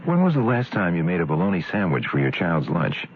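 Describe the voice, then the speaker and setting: A man's voice: deep voice, huge pitch swings, brisk, heavy background noise. An American man reading a persuasive scripted commercial as an announcer. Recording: narrow, tinny radio broadcast.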